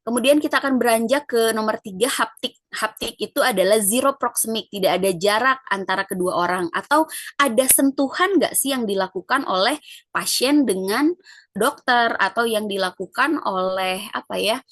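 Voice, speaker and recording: A woman's voice: on the high side, normal speed, fairly bright tone, no room sound. Chatty woman lecturer, dry close voice. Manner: conversational, frequent "gitu ya" and "eh" fillers. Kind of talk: recorded lecture explaining an assignment.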